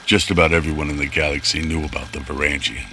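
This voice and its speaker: A man's voice: extremely low pitch, fairly even intonation, fast, pushed and bright, faint music behind. A man narrating a scripted sci-fi radio drama.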